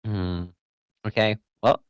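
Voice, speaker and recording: Hesitant young Polish game master. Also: male voice, lowish voice, fairly even intonation, slow, clean tone, no echo. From Poland. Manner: hesitant, self-deprecating, often checks in with "right?". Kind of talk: casual online tabletop game chat.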